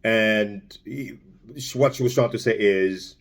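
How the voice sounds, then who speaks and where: A man from Congo talks through his thoughts in a loose, rambling way, restarting phrases and filling pauses. His voice sits fairly low. He speaks casually, as if chatting to his viewers. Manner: rambling, many restarts and fillers. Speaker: vlogger. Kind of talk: casual spoken commentary.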